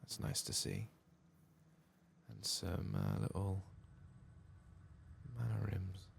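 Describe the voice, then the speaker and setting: A soft-spoken man murmuring ASMR commentary as he plays a video game. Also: low voice, fairly even intonation, takes it slowly, gravelly, a little hiss or hum. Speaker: ASMR gaming streamer.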